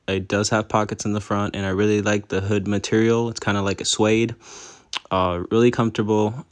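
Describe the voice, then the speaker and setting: A man in his twenties from Puerto Rico, chatting casually to his viewers as a vlogger. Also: lowish voice. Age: 20s. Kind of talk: casual vlog chat and product review.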